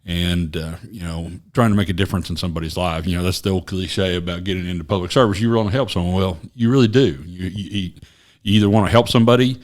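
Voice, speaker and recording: A man from Oklahoma with a very low voice tells his story as a podcast guest. He talks in a halting, rambling way, full of fillers and restarts. The talk is recorded in a small office studio. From Oklahoma, USA. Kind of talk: casual interview storytelling.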